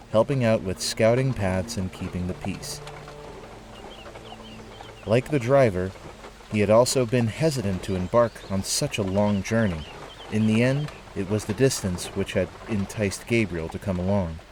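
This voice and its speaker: A man narrating a scripted audio drama in a measured storytelling manner. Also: lowish voice, a little mellow, a little hiss or hum. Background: music.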